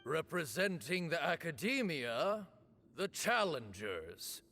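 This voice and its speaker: An actor playing a stern, gravelly master of ceremonies in a video game, proclaiming formally and solemnly. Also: male voice, a little high, very animated, slow pace, pushed and bright, soft background music, full character voice. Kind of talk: animated video game voice acting.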